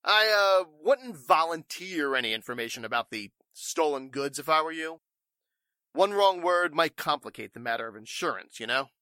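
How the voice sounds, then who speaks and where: Pompous company boss, 1940s radio: forceful bright voice, swinging pitch, blustery, shifty. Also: male voice, expressive, moderate pace, no room sound. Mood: wary. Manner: gruff bluster, stammering pomposity. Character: blustering, irritable, evasive warehouse boss.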